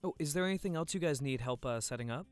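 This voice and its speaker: A man's voice: a little high, animated, fast talker, darkish tone, soft background music, natural voice. A man playing an easygoing, good-humoured boyfriend at a party in an audio drama. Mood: friendly. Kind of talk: naturalistic audio drama acting.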